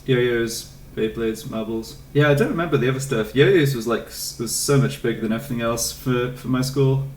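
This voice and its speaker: A man's voice: medium pitch, normal speed, few pauses, echoey room, distant mic, slight background noise. A young man from England with an English accent, casually chatting with his live chat while streaming a game, rambling and answering questions.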